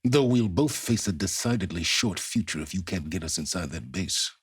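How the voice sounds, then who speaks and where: Casting: calculating older male scholar for a game; very raspy, low, pompous, condescending, expressive delivery. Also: no room sound, clean studio sound, heavily acted. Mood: measured, superior. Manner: pompous, condescending, deliberate, formal wording. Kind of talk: video game dramatic acting.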